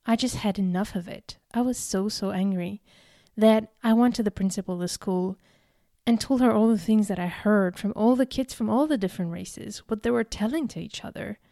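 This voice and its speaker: Warm-voiced woman telling her story. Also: fairly high pitch, dry recording, studio quality. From Algeria. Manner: earnest, deliberate, with emphatic repetitions. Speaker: speaker giving a talk. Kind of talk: prepared personal storytelling speech.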